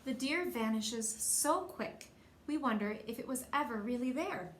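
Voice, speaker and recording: Expressive high-voiced woman reading to children. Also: a little slow. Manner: expressive, acts out dialogue, asks listeners questions. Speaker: library storyteller reading to children. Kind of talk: animated picture-book read-aloud with asides to young listeners. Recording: roomy echo, faint background noise.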